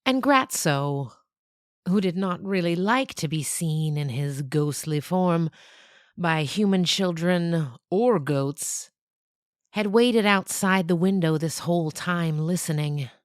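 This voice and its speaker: A woman hosting and narrating a story podcast in an expressive storybook style. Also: on the low side, slow, no room sound, studio quality.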